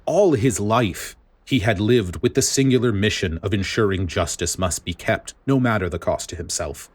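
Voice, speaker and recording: Low-voiced man narrating dramatic fiction. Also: normal speed. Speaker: audiobook narrator.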